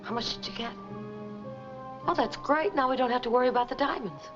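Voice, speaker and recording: An actress playing a scheming gangster's girlfriend in a 1940s American film noir, speaking briskly and pressingly. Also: high voice, close to an everyday voice. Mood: eager, urgent. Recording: dull 1940s film soundtrack, background music.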